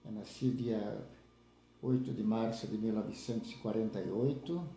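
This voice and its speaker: A man in his seventies, from southern Brazil, recalling his life in a halting way with many restarts. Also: on the low side, fairly even intonation, mellow, roomy sound. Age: 70s. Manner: halting, restarts with repeated words. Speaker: interviewee, lay church leader. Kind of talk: casual spoken recollection.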